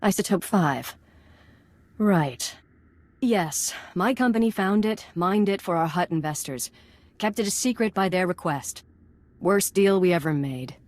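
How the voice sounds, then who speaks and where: Sardonic, dry-recorded corporate boss. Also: female voice, fairly low pitch, expressive, a little slow, darkish tone, no echo. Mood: bitter, resigned. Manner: sardonic, clipped. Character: brash, cynical corporate boss bargaining for survival. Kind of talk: video game dialogue acting.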